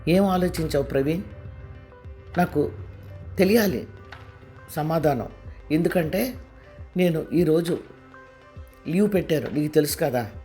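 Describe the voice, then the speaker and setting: A man's voice: on the high side, normal speed, a little mellow, faint music behind, close to an everyday voice. A man voicing an angry, demanding young wife. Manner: scolding, heated.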